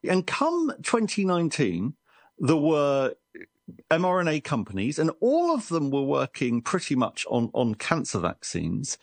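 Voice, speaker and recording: A man's voice: a little high, unhurried, no room sound. A man reporter explaining a science story in a conversational, storytelling way. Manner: lively storytelling with restarts.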